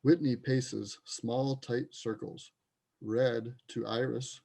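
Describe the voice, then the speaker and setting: A man's voice: medium pitch, steady tone, slow pace, creaky, darkish tone. A man narrating stage directions in a scripted reader's theater performance.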